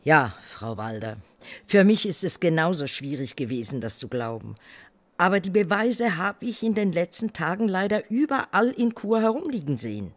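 Man voicing an earnest ally, animated pitch, urgent, radio play. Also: normal speed. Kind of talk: radio drama acting.

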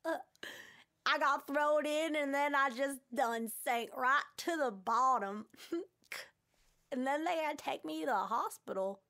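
A woman's voice: high-pitched, unhurried, pushed and bright, exaggerated voice. A woman playing a folksy, good-humored country girl with a Southern US accent, telling a story. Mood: amused, folksy.

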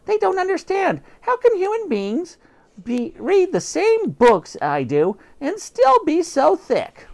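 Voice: very high-pitched, wildly swooping pitch, slow, vocal fry, darkish tone, pitched above the performer's own voice, exaggerated voice. A teacher reading aloud and voicing a melodramatic male house cat who thinks he is a vampire, in a theatrical, exasperated way.

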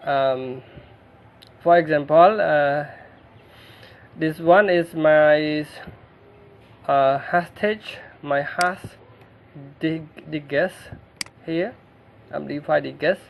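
Slow gravelly man with Khmer accent. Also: fairly high pitch, phone-quality sound, a little hiss or hum. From Cambodia. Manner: halting, many fillers and restarts. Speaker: tech tutorial narrator. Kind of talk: screen-recorded tutorial.

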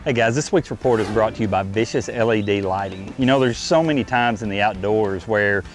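A man reads a sponsor message in a casual, conversational way. His voice sits a bit low. Faint music plays behind him. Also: a little fast. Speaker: sponsor reader. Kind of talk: sponsor read.

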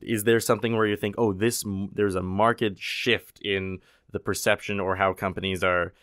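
Lowish voiced guy cohosting a chat, loose and chatty, dry sound. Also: fairly even intonation, moderate pace, fairly bright tone, dry recording. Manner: chatty, loose phrasing with many fillers. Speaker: podcast co-host. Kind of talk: casual conversation.

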